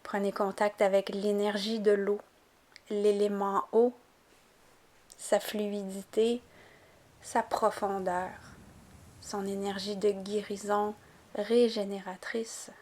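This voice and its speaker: A woman guiding a yoga nidra relaxation, giving calm, gentle, unhurried instructions. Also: medium pitch. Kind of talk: guided relaxation meditation narration.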